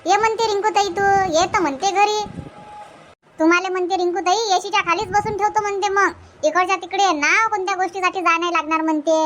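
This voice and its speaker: A woman voicing a very high, bright young village wife. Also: fairly even intonation, brisk, slight background noise. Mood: cheerful, chatty. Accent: rural Vidarbha Marathi. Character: Rinku, young married village daughter, chatty and obliging. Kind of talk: amateur Marathi cartoon comedy dubbing.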